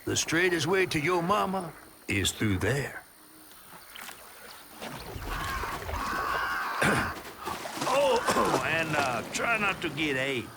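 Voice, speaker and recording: An actor with a Southern US accent playing a folksy, talkative river storyteller in a video game. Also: male voice, a little high, very animated, slow pace, creaky, very noisy, full character voice. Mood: wry, nervous. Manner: drawling, colorful, folksy.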